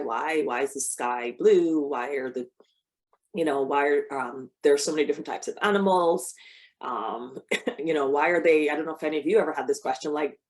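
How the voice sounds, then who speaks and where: Low-voiced woman giving a talk. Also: a little mellow. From Barbados. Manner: conversational, with frequent restarts and fillers. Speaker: cancer biologist giving a talk. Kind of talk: personal talk to an audience.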